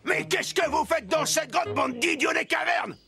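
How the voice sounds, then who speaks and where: High, gravelly cartoon bully hunter. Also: male voice, moderate pace. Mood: scornful. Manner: sneering, taunting, sing-song jeers. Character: mocking, bossy male bear hunter, greedy bully. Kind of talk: French children's cartoon acting. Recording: loud music behind the voice.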